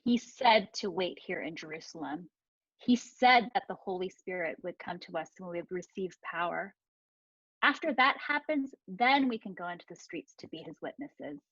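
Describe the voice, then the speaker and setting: A woman acting as a calm disciple who reassures and explains in a biblical church drama. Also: on the low side, moderate pace, breathy edge, dry recording, full character voice. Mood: confident. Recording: dry, slightly dull lo-fi sound.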